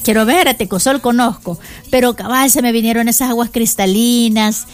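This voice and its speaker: Woman, radio co-host, slightly high voice, agreeable, faint music bed. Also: steady tone, normal speed. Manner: agreeable, moderates the show. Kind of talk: casual radio chat.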